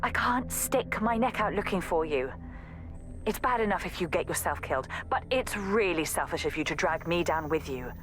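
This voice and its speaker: A woman's voice: on the low side, lively intonation, moderate pace, over music, natural voice. An actress playing an exasperated, protective young woman assassin in a video game audio log, scolding and pleading emphatically. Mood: exasperated, worried.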